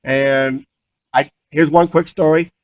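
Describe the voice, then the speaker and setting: A man telling stories casually and unscripted, halting and often repeating himself as he restarts sentences. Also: fairly high pitch, steady tone, dry recording. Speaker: vlog co-host.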